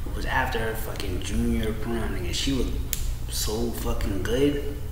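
A man's voice: fairly low pitch, moderate pace. Man in his thirties, rambling webcam monologue, profane and repetitive, echoey room with faint noise. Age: 30s. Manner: loose, profane, repeats himself, trails off. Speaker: vlogger. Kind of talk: rambling casual monologue to camera. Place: small room indoors at home.

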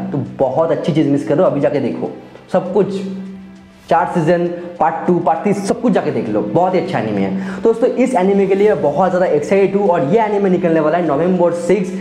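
A man's voice: high-pitched, warm tone. A young Indian man giving casual, enthusiastic anime commentary for online viewers, often repeating phrases for emphasis. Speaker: YouTube anime reviewer. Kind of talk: casual video commentary addressed to friends. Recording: roomy echoey sound, faint music behind. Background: faint background music.

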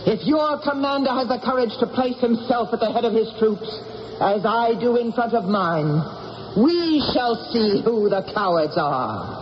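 An actress playing a proud, grave warrior queen of ancient Britain in a radio drama, low and husky, deliberate and commanding. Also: animated, a little hiss or hum. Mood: proud, defiant. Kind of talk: 1980s radio drama acting, first-person narration. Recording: dull radio recording, music behind.

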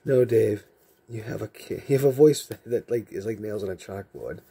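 A man with a very dark, mellow and husky voice, from Massachusetts, with a softened Massachusetts accent. He talks casually and rambles from one joking aside to the next as he sorts cards in a room lined with shelves. The camera mic picks him up while he stays out of frame. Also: lowish voice, normal speed. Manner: rambling, chatty, jokey asides. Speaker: card collecting channel host. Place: indoor room with card shelves.

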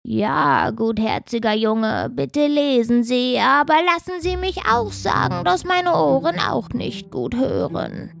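A woman voicing a wicked witch disguised as a creaky, cackling old woman in a cartoon dub. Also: high voice, big pitch swings, heavily acted. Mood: wheedling. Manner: wheedling, drawn-out. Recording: music behind.

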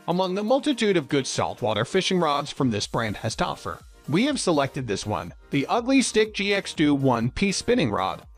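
A man reading a scripted product review as narrator. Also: fairly high pitch.

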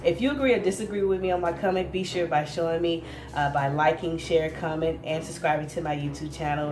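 A woman in her thirties with a low voice talks straight to camera in her own vlog. She is chatty and easygoing, often pausing on fillers. Loud background music plays in a roomy space. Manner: chatty and laid-back, with frequent fillers and laughs. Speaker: vlogger and host. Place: indoors in front of a virtual news-set backdrop.

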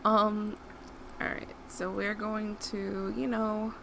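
A woman's voice: medium pitch, level tone, slow pace, gravelly. A young woman from Rico, chatting casually over gameplay and scolding the characters on screen. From Puerto Rico. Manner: chatty, talks to the game characters, bursts of outrage. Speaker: gaming YouTuber. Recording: noisy home recording.

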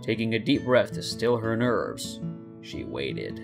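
Young man narrating over soft guitar music. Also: fairly low pitch, big pitch swings, slow pace, creaky. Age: 20s. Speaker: story narrator and channel host. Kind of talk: scripted dramatic story narration.

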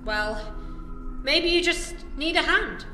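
Woman acting a very high-voiced plucky companion. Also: lively intonation, slow pace, pushed and bright, exaggerated voice. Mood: encouraging. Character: plucky, blunt young woman, the hero's companion. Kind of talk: audio drama acting. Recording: echoey room, faint music.

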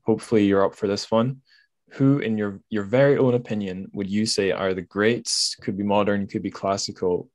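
Man, low pitch, casual interview host, self-deprecating, chatty, very little room sound. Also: no echo. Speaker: podcast host.

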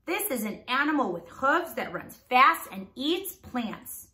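Female primary teacher, read-aloud for little kids; bright, very expressive delivery, roomy echo. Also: sits high, a little slow. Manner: animated, enunciated, prompting listeners with questions. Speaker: teacher reading a children's book aloud. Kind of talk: read-aloud lesson for young children.

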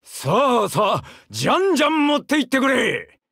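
An actor playing a brash, warm-hearted young seaman in a Japanese mobile game, with a southern Japanese dialect and a husky, gravelly, boisterous delivery. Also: very high voice, huge pitch swings, moderate pace, no echo, clean studio sound, full character voice. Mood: hearty, teasing. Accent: southern Japanese dialect, Kagoshima-like. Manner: boisterous, rustic, swinging from bashful murmurs to hearty shouts. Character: brash, warm-hearted young muscular seaman, eager big-brother type.

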